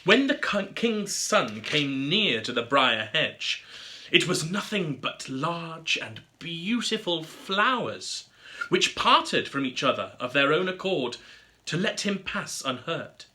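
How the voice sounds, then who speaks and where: Casting: male storybook narrator, higher register, very bright and forward tone, theatrical and expressive. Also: slow pace. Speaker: storybook reader. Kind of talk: scripted fairy tale narration.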